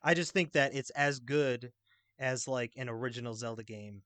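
A man's voice: mid-range voice, moderate pace, fairly bright tone, no room sound. A man on a podcast panel, explaining his opinions about games in an informal, rambling way. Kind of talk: casual video game discussion.

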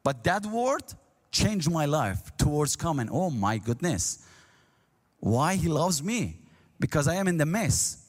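Azeri-speaking guy giving his testimony at church, kinda high voice, broken english, echoing around a big hall. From Iran, near the Turkish border. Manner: earnest, halting non-native English, grows animated while telling his story. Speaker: guest speaker. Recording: long hall reverb.

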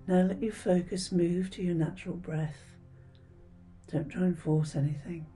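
A woman leading a scripted guided meditation in a soothing, hushed, breathy voice with gentle pauses. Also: lowish voice, fairly even intonation. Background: background music.

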